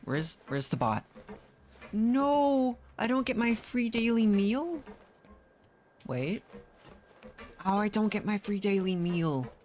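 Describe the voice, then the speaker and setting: Streamer wanted: casual gameplay reactions, slow delivery, lively pitch swings, thinking out loud. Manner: musing aloud, reacting to the game. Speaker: game streamer. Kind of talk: casual live gameplay commentary.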